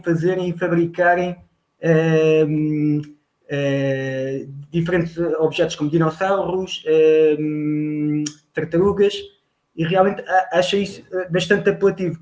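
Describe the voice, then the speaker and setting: A man's voice: a little high, a little mellow. A man with a Lisbon Portuguese accent making a polite, formal comment as an audience member, hesitantly searching for words and repeating them.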